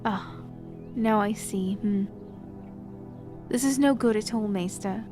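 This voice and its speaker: An actress playing a reserved young woman who speaks in a calm, measured, formal way. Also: mid-range voice, music in the background, close to an everyday voice. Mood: regal, concerned. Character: reserved young woman, later a composed queen. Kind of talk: audio drama acting.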